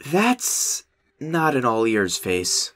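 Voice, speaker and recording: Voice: medium pitch, big pitch swings, fairly bright tone, heavily acted. A performer voicing a young male goat monster, earnest and haunted by guilt, in an amateur comic dub. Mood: casual, careful. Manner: earnest, emotionally volatile, halting when ashamed.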